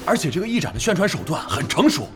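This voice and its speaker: A man's voice: fairly high pitch, wildly swooping pitch, fast talker, music in the background. An actor playing a chatty, envious young male gaming fan in a radio drama. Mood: envious, gossipy. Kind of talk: Chinese radio drama dialogue.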